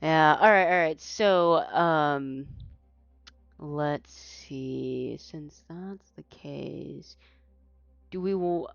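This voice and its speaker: A woman's voice: deep voice, extremely slow, creaky, dry recording, dull audio. A woman whose first language is Mandarin, casting an esports tournament in casual chat, rambling with many fillers and restarts. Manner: rambling, self-correcting, frequent fillers. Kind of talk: casual post-match chat.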